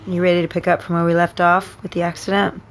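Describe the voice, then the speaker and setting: Actress as caring steady female counselor, dry humor, gently probing, audio drama, bit of background noise. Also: lowish voice, close to an everyday voice. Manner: measured, earnest, gently probing. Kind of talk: naturalistic audio drama acting.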